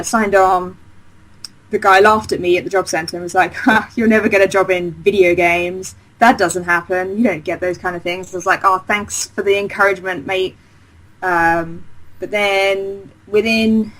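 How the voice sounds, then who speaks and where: A woman's voice: medium pitch, fairly bright tone, poor audio. Chatty woman, rambling enthusiastically. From Essex, England. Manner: chatty, rambling, with many fillers and restarts. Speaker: game designer guest. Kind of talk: casual interview chat.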